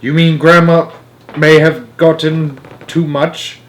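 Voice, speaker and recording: An earnest young storybook boy played by a man reading aloud, anxious and questioning. The voice is lifted well above the reader's own pitch, with worried emphasis. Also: level tone, slow.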